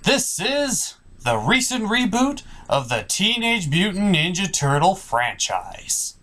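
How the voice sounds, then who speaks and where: A man voicing a flustered, exasperated puppet host of a cartoon review show, halting and apologetic in a scripted comedy skit. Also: high-pitched, wildly swooping pitch, sharp tone, heavily acted. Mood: presenting.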